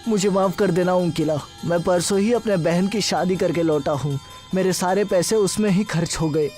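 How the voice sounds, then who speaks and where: A man's voice: high voice, normal speed, darkish tone, faint music behind, close to an everyday voice. A man voicing a meek, kindly, worried employee in a cartoon moral story, speaking earnestly and apologetically. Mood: apologetic.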